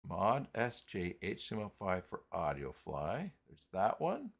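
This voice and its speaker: A man hosting an unscripted live software tutorial, talking haltingly as he thinks aloud while working. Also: deep voice, wildly swooping pitch, gravelly. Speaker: host of live tutorial stream.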